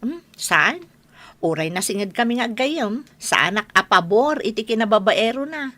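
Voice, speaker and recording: A woman's voice: fairly high pitch, very animated, a little slow, sharp tone, heavily acted. A woman playing a frank, gossipy neighbour in an Ilocano radio drama, teasing and blunt.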